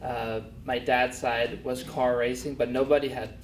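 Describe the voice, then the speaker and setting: Soft-spoken young man from Denmark. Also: mid-range voice, little pitch movement, recorded from a distance, a little hiss or hum. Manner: soft-spoken, halting with many fillers. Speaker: guest, race car driver. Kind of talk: casual interview. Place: pub. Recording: echoey pub, voice from off-mic.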